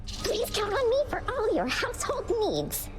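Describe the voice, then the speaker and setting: A woman's voice: very high voice, wildly swooping pitch, a little slow, soft background music, full character voice. A woman voicing a timid, flustered servant creature in a video game, hesitant, deferential and eager to please. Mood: flustered, grateful. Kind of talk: video game character acting.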